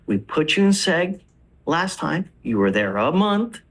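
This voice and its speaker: A man's voice: a little high, sing-song, a little slow. A man speaking as a judge in a courtroom, stern and admonishing as he addresses lawyers and a defendant.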